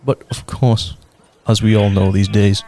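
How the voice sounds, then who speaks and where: A young man narrating a radio drama in a measured storytelling way. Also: on the low side, steady tone, slight background noise. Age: young adult. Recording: narration over loud music.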